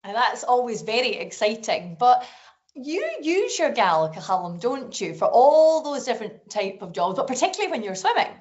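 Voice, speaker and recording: A woman's voice: a little high, big pitch swings, moderate pace, a little mellow. A Scottish woman hosting a live online lesson for young schoolchildren, upbeat and encouraging. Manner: upbeat, encouraging, sings call-and-response phrases. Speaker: festival director hosting a school session. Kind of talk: lively children's lesson presenting. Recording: lo-fi video call audio.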